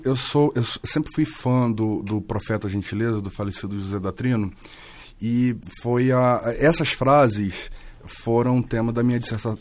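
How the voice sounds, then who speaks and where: A man's voice: mid-range voice, darkish tone, dry recording. A man from Rio de Janeiro with a carioca accent, a guest on a radio interview, explaining earnestly and restarting often. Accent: Carioca Brazilian Portuguese. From Rio de Janeiro, Brazil.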